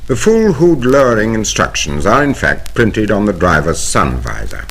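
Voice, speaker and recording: A British man reading a scripted instructional narration in a formal, precise, measured way, from a 1970 vinyl record soundtrack. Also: lowish voice, huge pitch swings, gravelly, very noisy. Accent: British English. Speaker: training film narrator.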